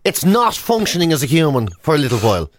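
High-pitched, animated, exasperated Irish man. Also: quick pace, no room sound. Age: middle-aged. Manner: self-deprecating, exasperated complaining, emphatic repetition. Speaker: radio show co-host and comedian. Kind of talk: comic banter.

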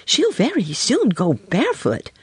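A woman's voice: fairly high pitch, huge pitch swings, unhurried, slightly rough, no echo, voice pushed up, full character voice. Woman voicing a fussy little hedgehog washerwoman. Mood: fussy, eager. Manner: deferential, fussy, chatty servant talk. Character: stout little hedgehog washerwoman, elderly, polite and anxious. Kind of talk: children's storybook character voice.

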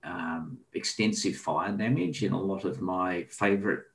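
A man's voice: low voice, animated, a little slow, creaky. An Australian man telling a long travel story in casual chat, with many ums and restarts. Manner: rambling with frequent ums and restarts. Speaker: guest.

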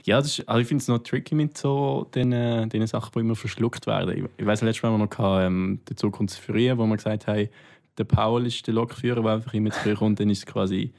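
A man's voice: fairly low pitch, steady tone, fast talker, vocal fry, a little mellow. A man with a Swiss German accent, chatty and joking, learning words and repeating them back in a casual lesson. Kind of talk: casual language lesson chat.

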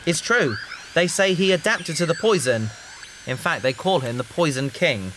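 An actress playing an earnest researcher on a risky space mission, pleading and challenging in an audio drama. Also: female voice, sits low, animated, normal speed, a bit bright. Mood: urgent, pleading. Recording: faint hiss behind the voice.

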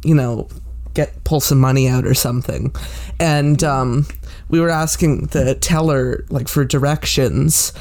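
A relaxed podcast host talks in a loose, casual way. The host is self-deprecating and drops a swear word without fuss. The voice sits at an ordinary pitch. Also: a little slow.

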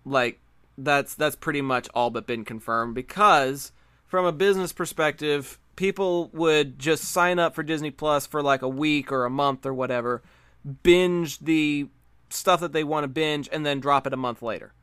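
A man's voice: fairly high pitch, normal speed, pushed and bright, clear, resonant tone, no room sound, studio quality. A man co-hosting a podcast, talking casually about pop culture and repeating words for emphasis. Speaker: podcast co-host.

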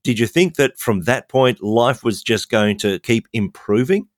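A man hosting an interview, asking gently probing, thoughtful questions. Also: medium pitch, lively intonation, a little slow, no echo, studio quality. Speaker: podcast host.

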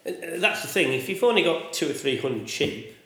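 Farmer guy chatting loud, lots of "isn't it?", echoey sound. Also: a little high, lively intonation, fairly quick. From England. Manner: loud voice, chatty, asks tag questions. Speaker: sheep farmer. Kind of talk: casual conversation.

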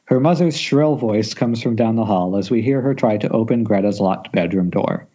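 Mellow man narrating a screenplay. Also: fairly low pitch, moderate pace. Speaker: stage-direction narrator. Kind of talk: screenplay narration at amateur table read. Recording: muffled internet call.

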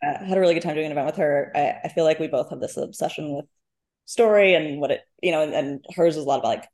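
Low-pitched woman, thoughtful and halting. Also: a little mellow. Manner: thoughtful, halting, self-correcting. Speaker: guest author, short story writer. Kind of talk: casual literary interview chat.

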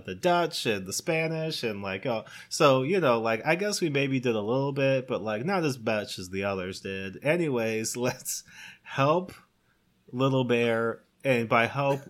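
A man in casual conversation, recounting a story in a halting, rambling way with lots of "like". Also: on the low side, fairly bright tone. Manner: rambling, halting, heavy use of "like". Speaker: podcast co-host. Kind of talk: casual chat, recounting a plot.

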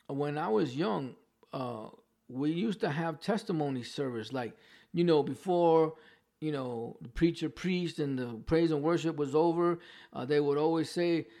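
A man's voice: on the high side, vocal fry, warm tone. A man with a New York accent teaching a Bible passage in a loose, conversational way, often restarting his phrases and checking in with "right?" and "you know". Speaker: preacher. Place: home with a dog barking in the background.

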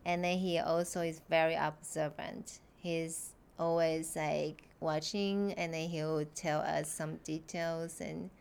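A woman whose first language is Mandarin, speaking English in a hesitant, soft-spoken casual chat. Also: low voice, flat delivery, slow pace, gravelly, few pauses, smooth.

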